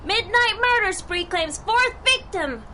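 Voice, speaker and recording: An actor playing a young newsboy hawking papers in a loud sing-song cry, in a 1930s-style radio serial. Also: male voice, very high-pitched, lively intonation, a little slow, a little hiss or hum, full character voice. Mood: excited, hawking. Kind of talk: street crier in 1930s radio drama.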